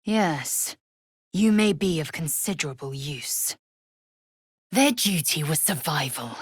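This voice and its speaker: A woman playing a fierce, proud, disdainful young githyanki warrior in a video game, speaking in a husky, breathy, imperious and clipped way. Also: low-pitched, lively intonation, no echo, clean studio sound, exaggerated voice. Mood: stern. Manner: imperious, clipped, deliberate, formal.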